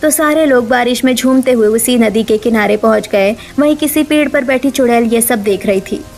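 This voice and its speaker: A woman's voice: a little high, normal speed, a little mellow, faint music behind. A woman narrating a scripted story as a storyteller.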